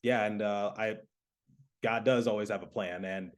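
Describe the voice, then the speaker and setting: A man from Michigan hosting a sports podcast, asking questions in a halting way with many fillers. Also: on the low side, fairly even intonation. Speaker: podcast host, hockey play-by-play announcer. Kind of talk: casual interview chat.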